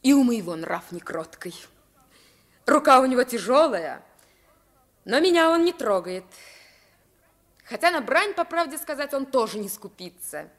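A woman's voice: high voice, big pitch swings, a little slow, pauses often, full character voice. A woman playing a sheltered, self-assured young prince who is curious and genteel, in a radio play. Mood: confiding, curious. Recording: vintage 1950s radio play recording.

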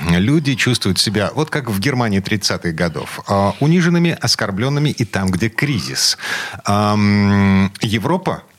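A man's voice: on the low side, slightly rough. A man hosting a studio discussion, talking with frequent hesitations and fillers. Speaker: host.

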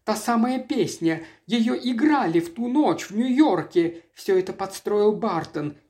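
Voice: mid-range voice, little pitch movement, brisk, darkish tone, natural voice. Чтец в роли вспыльчивой, театральной иностранки. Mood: frightened. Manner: exclamatory, theatrical. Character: fiery, excitable foreign woman in mystery story.

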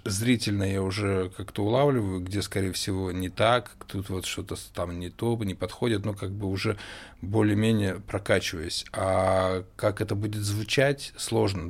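Low gravelly man, halting casual talk. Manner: halting, casual, with frequent fillers and mild swearing. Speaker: podcast co-host. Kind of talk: casual chat.

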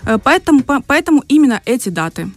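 A woman speaking as an interview guest on the radio, explaining things in a measured way. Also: high-pitched, big pitch swings, no room sound. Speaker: guest, representative of a women's aid organisation. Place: radio studio.

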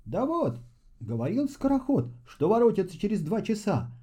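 A man's voice: sits high, wildly swooping pitch, normal speed, warm tone, husky, voice pushed up, full character voice. Man doing an earnest young peasant hero. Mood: anxious, despairing.

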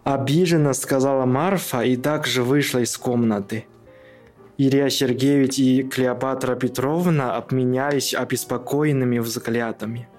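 A man's voice: medium pitch, steady tone, a little slow, a little mellow, faint music behind. A man who is a native Chinese speaker, reading scripted narration for a radio play.

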